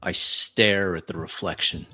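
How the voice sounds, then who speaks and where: A man playing a tabletop roleplay, narrating in the first person in halting, deliberate, brooding phrases. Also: low-pitched, steady tone, sharp tone. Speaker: roleplay player.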